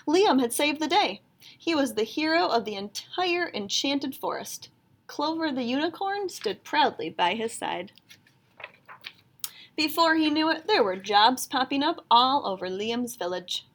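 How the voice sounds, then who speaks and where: A woman reading a children's picture book aloud in an expressive storytelling style. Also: sits high.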